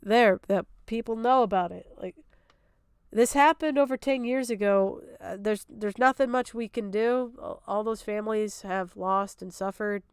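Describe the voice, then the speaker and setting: A woman's voice: medium pitch, normal speed, clean tone, no room sound, studio quality. A woman streaming unscripted reaction commentary, exasperated, sarcastic and prone to swearing.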